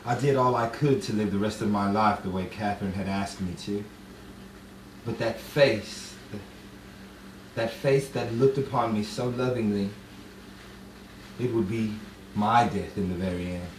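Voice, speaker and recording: A man playing a grieving, lovestruck man in a radio romance drama, speaking haltingly with dramatic pauses. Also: on the low side, close to an everyday voice. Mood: wistful, lovelorn. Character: grieving lovestruck man recalling his lost love. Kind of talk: old-time radio romance drama monologue. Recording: echoey distant room, noisy amateur recording.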